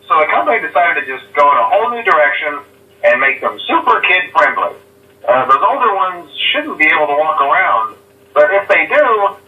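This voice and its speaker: An actor playing a chatty, nervous male company phone messenger in a horror game, rambling with lots of "uh" fillers and awkward chuckles. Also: on the high side. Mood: upbeat nervous. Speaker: phone guy giving night guard instructions. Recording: tinny phone line, echoey and distant.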